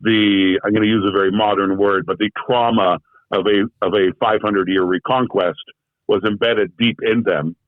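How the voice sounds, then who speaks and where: A man's voice: on the low side, fairly even intonation, moderate pace, no echo. A man being interviewed as a guest, talking in a thoughtful, rambling way with lots of fillers and restarts, heard over a remote call. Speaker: guest, translator. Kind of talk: casual conversational interview. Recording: tinny phone-like remote call audio.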